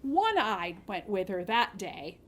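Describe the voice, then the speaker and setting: A woman telling a fairy tale to children in an expressive, dramatic manner with informal asides. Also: mid-range voice, slow. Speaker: children's storyteller at a library storytime.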